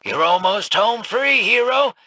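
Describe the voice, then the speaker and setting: A man's voice: high voice, expressive, a little slow, bright, forceful tone, full character voice. An actor playing a roguish male space scoundrel in a video game, talking in a wry, teasing drawl.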